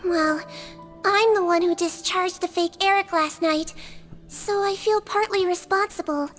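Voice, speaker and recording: An actress voicing a tiny, earnest young nurse in a video game, sweet and politely stubborn. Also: female voice, very high-pitched, fairly even intonation, unhurried, fairly bright tone, faint music behind.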